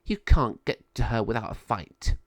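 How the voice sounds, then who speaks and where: A man's voice: mid-range voice, lively intonation, normal speed, no room sound, close to an everyday voice. A man voicing a villainous, gloating sorceress in a storybook tale, with a drawling, taunting, cackling manner. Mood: smug, taunting. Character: villainous gloating sorceress sister, later giant raven.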